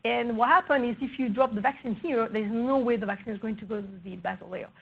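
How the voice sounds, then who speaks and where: Brief: woman researcher, soft and slightly husky, fairly high voice, halting talk, muffled recording. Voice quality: soft, low-volume voice. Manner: hesitant, many fillers and restarts. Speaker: research scientist presenting at a workshop. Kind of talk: scientific talk presenting slides.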